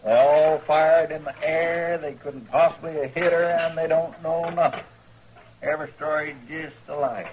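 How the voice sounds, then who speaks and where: An actor playing a folksy, respectful deputy sidekick in a radio western, with a rural American drawl. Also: male voice, fairly high pitch, steady tone, normal speed, natural voice. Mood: puzzled. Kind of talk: old-time radio western acting. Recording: dull, faintly hissy old radio recording.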